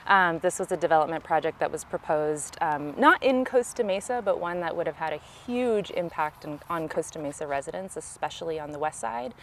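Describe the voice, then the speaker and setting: Casting: Californian woman, low voice, measured explanatory interviewee, local candidate type, recorded outdoors over faint background noise. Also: a bit bright. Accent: Californian American. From California, United States. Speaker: city council candidate, interviewee. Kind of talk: conversational political interview answers. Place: outdoors in a park.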